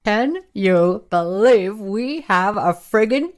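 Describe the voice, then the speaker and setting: An actress as a chatty, animated woman. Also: mid-range voice, slow pace. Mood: excited. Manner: casual, emphatic. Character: excitable, chatty, practical female camera operator. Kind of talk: radio play, naturalistic dialogue.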